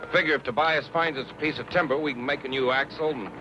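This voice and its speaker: A man's voice: on the high side, fast talker, natural voice. Earnest wagon-train man, muffled old radio actor. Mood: resolute. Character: determined, serious wagon-train man guarding his rifles. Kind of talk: old-time western radio drama acting. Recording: dull old radio recording with music.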